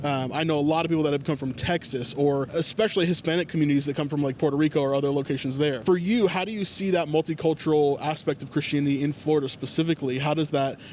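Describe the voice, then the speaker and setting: A man hosting a faith vlog, talking casually and explaining things, often filling pauses with "like". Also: fairly high pitch, fairly even intonation, a little mellow, a little hiss or hum. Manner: chatty, with frequent "like" fillers. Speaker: vlog host.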